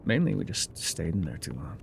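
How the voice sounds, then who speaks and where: Male actor as haunted, laconic frontier guide for immersive ASMR drama; gravelly, calm and folksy with expressive swings, slight rumble behind. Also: full character voice. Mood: wistful, haunted. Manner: unhurried, musing, folksy, trailing pauses. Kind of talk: immersive ASMR roleplay monologue.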